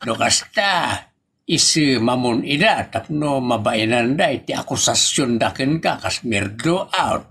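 An older village father with an Ilocano accent , acted by a man for a comedy drama. The voice is gravelly and highly animated. He teases and frets, stammering through repeated interjections in exasperation. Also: measured pace, exaggerated voice. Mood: amused, teasing.

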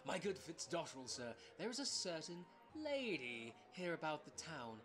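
A man playing a scheming, flattering swindler in an amateur audio drama, with an obsequious theatrical manner. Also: fairly high pitch, moderate pace.

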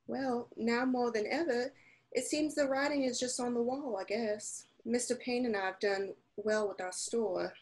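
A woman's voice: fairly high pitch, steady tone, moderate pace, a little mellow, natural voice, rough recording. A woman playing a gossipy, worried frontier shopkeeper in a radio drama, fretful and hesitant. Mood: worried, puzzled.

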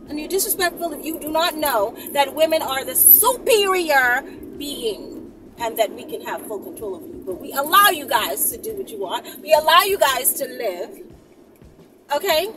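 A woman, talking emphatically and sassily to camera in a casual, opinionated vlog, often tagging points with a questioning okay. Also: sits high. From the Bahamas. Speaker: vlogger. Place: inside a moving car. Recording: distant mic, noisy moving car. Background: road and vehicle noise.

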